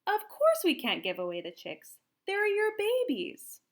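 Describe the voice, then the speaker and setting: A woman voicing a kindly, excitable farm woman from a storybook, gushing and exclamatory. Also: very high-pitched, measured pace, voice pushed up, heavily acted. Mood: fond.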